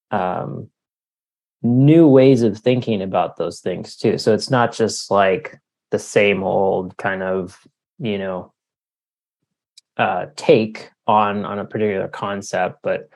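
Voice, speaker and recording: A man with a low, gravelly voice answers interview questions casually. He speaks slowly and haltingly, pausing to think and searching for words as he goes. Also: darkish tone. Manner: halting, thoughtful, searching for words with long hesitations. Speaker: author being interviewed. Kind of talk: casual reflective interview talk.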